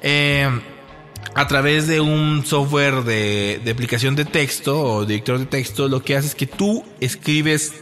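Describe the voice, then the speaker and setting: A man's voice: mid-range voice, a little slow, fairly bright tone, soft background music. A man co-hosting a casual podcast chat, rambling and hesitant with many fillers and restarts. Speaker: podcast co-host.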